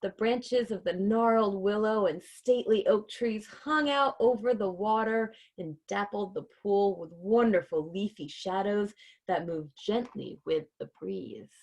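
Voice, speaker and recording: A woman with an average-pitched voice hosts a casual livestream read-along. She moves between playful, informal chat and reading from a children's storybook. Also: measured pace, a little mellow, low-quality recording.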